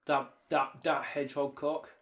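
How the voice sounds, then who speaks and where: A man's voice: a little high, flat delivery, moderate pace, roomy sound. An English man co-hosting a podcast, tipsy and flippant, joking in casual banter over a shared mic. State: tipsy from drinking. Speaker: podcast co-host. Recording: some noise, shared single mic.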